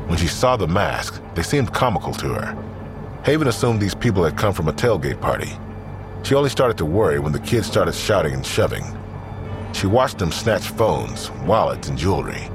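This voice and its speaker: Deep-voiced man narrating crime drama, lively intonation. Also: normal speed, music in the background. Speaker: narrator of a fiction podcast.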